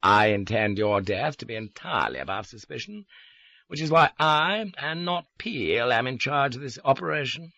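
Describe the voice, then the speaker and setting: A man's voice: mid-range voice, expressive, normal speed, gravelly, pushed and bright, no echo, dull audio, pitched above the performer's own voice. A man voicing a cold, educated male villain who talks with smug, precise superiority.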